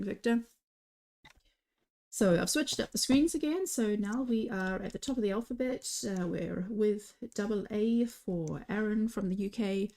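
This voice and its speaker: A woman's voice: on the low side, darkish tone. A woman co-hosting commentary on a live online competition stream, chatty and reading out viewer comments. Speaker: commentator co-host.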